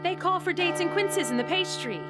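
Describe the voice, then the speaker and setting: A woman playing a bawdy, doting nurse in a classical Shakespeare play, loud and theatrical, with many exclamations. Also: very high-pitched, animated, fairly quick, loud music behind the voice. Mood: busy. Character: bawdy, doting female nurse and servant, turning to wailing. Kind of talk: classical Shakespearean stage acting.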